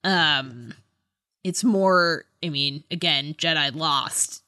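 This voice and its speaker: Bright-voiced woman chatting slowly about books. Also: deep voice, no echo, clean studio sound. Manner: hesitant, thoughtful, hedging delivery. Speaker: podcast co-host. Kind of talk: casual book discussion.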